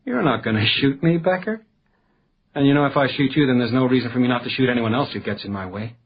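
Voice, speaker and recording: An actor playing a cold, cunning criminal who poses as a judge, measured and menacing in a radio crime drama. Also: male voice, medium pitch, dull audio, a little hiss or hum, close to an everyday voice. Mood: quietly menacing.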